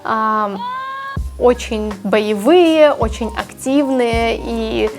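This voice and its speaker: A woman's voice: fairly high pitch, very slow, darkish tone, soft background music. A woman from Ukraine, a native Ukrainian speaker, giving articulate, emphatic career advice in an explanatory vlog as a journalist.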